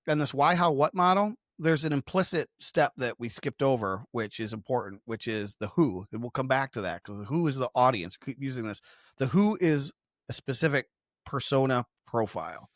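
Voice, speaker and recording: A man's voice: medium pitch, expressive, moderate pace, dry recording, studio quality. A man explaining ideas conversationally as a business podcast co-host, emphatic and slipping into imagined customer quotes.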